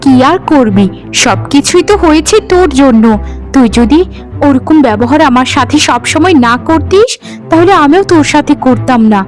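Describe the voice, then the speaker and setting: A woman voicing a scolding, bitter elder co-wife in an animated folk tale, with a melodramatic delivery. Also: sits high, moderate pace, faint music behind. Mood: bitter, accusing.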